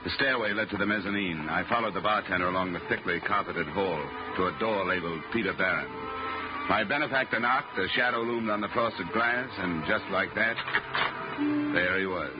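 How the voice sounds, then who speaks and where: A deep-voiced hardboiled investigator, acted by a man from a vintage radio noir. He is dry, clipped and coolly wry. The sound is muffled, with loud music. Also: normal speed, natural voice. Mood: dry, narrating. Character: cool wisecracking hardboiled male insurance investigator. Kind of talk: 1950s radio noir narration and dialogue.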